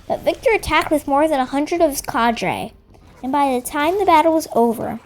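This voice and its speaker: A woman's voice: high voice, animated, normal speed. A woman voicing an earnest female rebel robot, solemnly reading dramatic logs of an uprising. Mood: earnest, reflective. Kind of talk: scripted dramatic log narration. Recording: music behind the voice.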